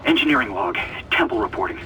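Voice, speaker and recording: Gruff, forceful ship engineer. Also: male voice, mid-range voice, animated, fast, recorded from a distance, slight background noise, rough recording. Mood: grim, urgent. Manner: terse, commanding. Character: gruff engineer, curt, angry, under pressure. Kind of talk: video game voice acting.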